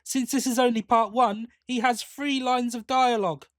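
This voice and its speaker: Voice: medium pitch, fairly even intonation, normal speed, no room sound. A podcast host chats casually through a review in a mid-range voice. The delivery is opinionated, leaning into emphatic complaint. The sound is dry and close.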